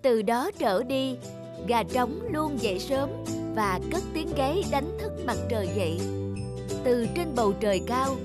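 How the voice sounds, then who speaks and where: A woman reads as a children's story narrator. Her delivery is measured and scripted. Loud music plays underneath. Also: on the high side, lively intonation.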